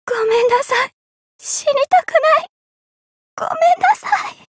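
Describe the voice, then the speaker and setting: A woman's voice: very high-pitched, expressive, normal speed, no room sound. A woman voicing a timid, apologetic young girl warrior in a fighting game, meek and pleading. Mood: pleading, distressed. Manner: meek, pleading, breaking into cries and battle grunts. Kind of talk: anime fighting game voice acting.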